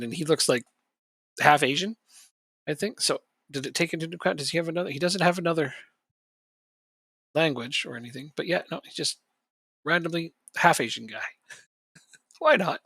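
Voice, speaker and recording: Husky guy with some gravel in his voice, bright tone, dry close mic, jokey rambling streamer chat. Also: fairly high pitch, dry recording. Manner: rambling and jokey, chuckles often. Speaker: streamer playing a football management game. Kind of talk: casual live-stream chat.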